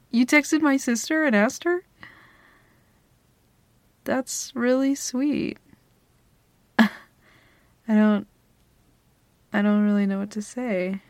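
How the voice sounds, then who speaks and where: A woman's voice: fairly high pitch, drawn-out words, long pauses, no echo. A young woman playing a flustered girl smitten with her best friend in an intimate ASMR roleplay, hesitant, stammering and giggly. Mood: touched, shy. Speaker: audio roleplay performer. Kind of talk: ASMR girlfriend roleplay, intimate one-sided dialogue.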